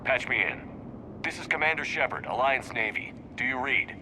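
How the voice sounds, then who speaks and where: A man's voice: medium pitch, expressive, moderate pace, lightly acted. A man playing a resolute human military commander in a video game, speaking in a terse, commanding way. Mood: commanding, focused. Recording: game vehicle noise behind voice.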